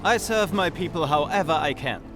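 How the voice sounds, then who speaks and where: A male actor plays a dutiful colonel in a game cutscene. The voice is a little high for a man, formal and earnest, with light music underneath. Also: lively intonation, moderate pace, lightly acted. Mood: resolute. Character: dutiful, earnest decorated German army colonel.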